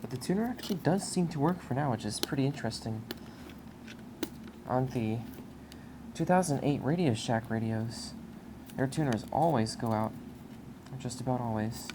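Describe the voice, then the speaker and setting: Really deep voiced woman chatting through what shes doing, noisy camera audio in a basement. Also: animated, normal speed, long pauses. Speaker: hobbyist video creator. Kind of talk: casual hands-on commentary.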